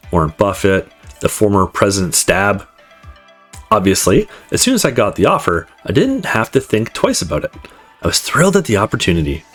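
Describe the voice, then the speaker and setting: A man's voice: fairly low pitch, husky, exaggerated voice. A man voicing a confident, upbeat male student who narrates his life in a visual novel, in a breezy, conversational way. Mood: breezy, boastful. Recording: music playing behind the voice.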